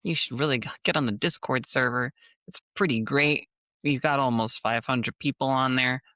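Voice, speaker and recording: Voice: mid-range voice, normal speed, sharp tone, no room sound. Co-host with a Minnesotan accent, earnest and encouraging, dry close sound. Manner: earnest, encouraging, hesitant fillers. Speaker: podcast co-host. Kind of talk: casual podcast chat.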